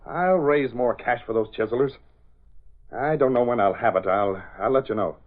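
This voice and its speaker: An actor playing a scheming, irritable crooked lobbyist in an old radio drama, husky and curtly commanding. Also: male voice, mid-range voice, moderate pace, close to an everyday voice. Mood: businesslike, impatient. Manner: curt, commanding, impatient. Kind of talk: 1930s-40s radio drama acting. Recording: dull old-time radio recording.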